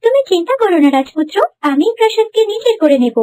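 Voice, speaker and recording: A woman's voice: very high-pitched, animated, quick pace, mellow. A woman voicing a haughty, snobbish young bride of a prince in a cartoon fairy tale, imperious and nagging. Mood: sweet, ambitious.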